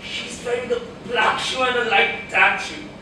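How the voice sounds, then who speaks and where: A man's voice: very high-pitched, lively intonation, normal speed, pushed and bright, full character voice. A young man acting a short-tempered, exasperated husband in a live stage play. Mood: pained, desperate. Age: young adult. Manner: exasperated, ranting, melodramatic. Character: frantic, short-tempered American husband, exasperated and self-pitying. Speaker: student stage actor. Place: auditorium stage. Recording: echoey noisy auditorium, recorded from far off.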